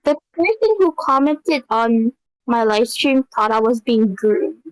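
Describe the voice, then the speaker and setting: A woman's voice: lively intonation, measured pace, clear, resonant tone, no echo, low-quality recording. A young woman chatting casually on a live stream, rambling and giggling often. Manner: giggly, rambling, high-pitched.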